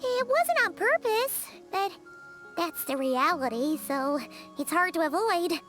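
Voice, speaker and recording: A woman voicing a tiny floating female fairy companion in a game, who is blunt, nosy and chatty, with a high, squeaky, childlike voice. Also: animated, fairly bright tone, soft background music, heavily acted. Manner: blunt, chatty, quick to tease. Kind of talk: game dialogue.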